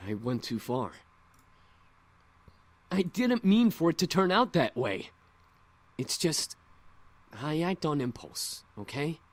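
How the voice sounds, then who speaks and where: A man acts out a hot-headed young demon guy from a visual novel, blunt, defensive and grudging. His medium-pitched voice leaps up and down with animation, recorded close and dry. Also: very animated, takes it slowly, long pauses, no echo, full character voice. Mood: grudging, contrite. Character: brash, impulsive young male incubus, hot-headed and defensive. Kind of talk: visual novel character acting.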